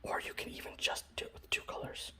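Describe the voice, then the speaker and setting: A man softly whispering in a casual, rambling ASMR style, breathy and close to the microphone, showing off a hobby. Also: quick pace. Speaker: ASMR video host. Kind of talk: ASMR, informal hobby show-and-tell.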